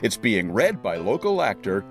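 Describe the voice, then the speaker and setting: Low-pitched male podcast host over guitar music. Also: very animated, normal speed. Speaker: podcast host introducing a story. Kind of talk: scripted podcast introduction. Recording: music under the voice. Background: plucked guitar music.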